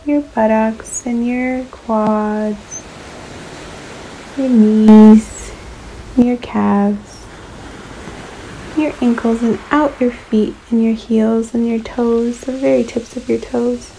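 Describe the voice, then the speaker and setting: A woman leading a guided relaxation meditation in a soothing, unhurried manner, leaving long pauses between short phrases. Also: a little high, steady tone, dark tone, slight background noise, poor audio.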